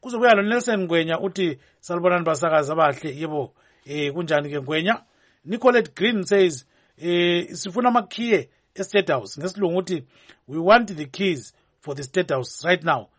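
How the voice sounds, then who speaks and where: A man's voice: high voice, lively intonation, fairly quick, a bit bright. A man with a Zimbabwean accent co-hosting a live talk show, presenting and reading out messages in English and Ndebele. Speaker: radio host.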